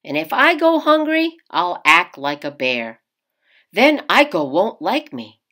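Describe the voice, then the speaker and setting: Woman reading as an earnest, anxious young sailor, animated. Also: on the low side, slow, full character voice. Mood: worried.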